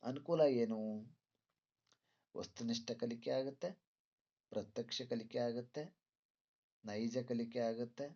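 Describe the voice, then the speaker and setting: A man's voice: mid-range voice, steady tone, slow pace, long pauses, dark tone. A male teacher lecturing students through exam questions, didactic, repeating points and posing rhetorical questions. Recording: dull lo-fi sound.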